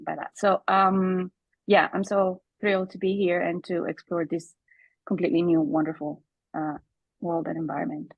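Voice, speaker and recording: A woman from Colombia, introducing herself hesitantly on a video call with many pauses. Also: fairly low pitch, flat delivery, vocal fry, dry recording, dull audio. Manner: hesitant, many ums and uhs. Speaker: meeting participant.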